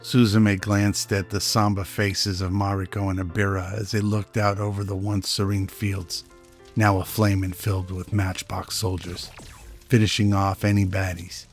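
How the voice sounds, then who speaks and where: A man's voice: low voice, little pitch movement, normal speed. A man reading scripted narration for a radio-style drama. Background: music.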